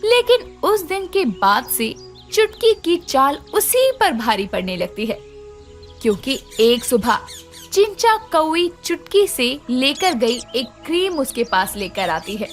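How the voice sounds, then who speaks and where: Female narrator for a children's tale, high and lively delivery. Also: a little slow, faint music behind. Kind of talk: scripted children's story narration.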